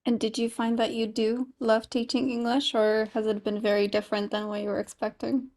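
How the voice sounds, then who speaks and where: A woman's voice: fairly high pitch, little pitch movement, a little fast, darkish tone. A woman hosting a podcast, asking interview questions in a friendly, conversational way. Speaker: podcast host.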